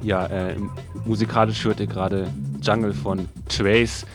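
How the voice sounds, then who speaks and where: A man's voice: fairly low pitch, little pitch movement, a little slow. A man presenting local radio, announcing events and music in a casual, hesitant, improvised way. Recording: music playing under the voice.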